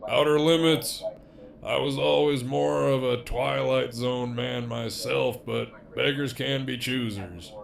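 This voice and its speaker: A man's voice: mid-range voice, fairly even intonation, slow, gravelly, a crowd in the background. A man playing a sardonic, foul-mouthed jailed villain in an audio drama, with a wry, sarcastic drawl. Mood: dry.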